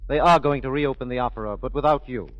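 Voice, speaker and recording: A man playing a confident police inspector in a vintage radio drama. He is formal, clipped and dismissive, with a mid-pitched voice. The sound is dry. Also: quick pace, no room sound, dull audio, close to an everyday voice. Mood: firm, condescending. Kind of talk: 1940s radio acting.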